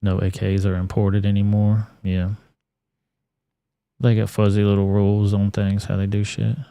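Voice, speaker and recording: A man's voice: on the low side, completely flat delivery, moderate pace, vocal fry, mellow, clean tone, no echo, clean studio sound. A man hosting an online talk show, giving blunt, profane commentary on clips. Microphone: studio mic.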